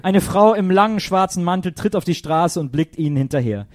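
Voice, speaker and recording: Guy narrator for a radio drama, dramatic reading, slightly high voice, dry sound. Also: expressive, brisk, no room sound.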